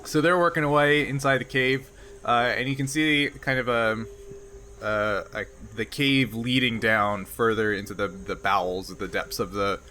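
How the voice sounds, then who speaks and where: A man's voice: medium pitch, steady tone, unhurried, pushed and bright, slight background noise. A man speaking casually as the game master of a tabletop roleplaying game.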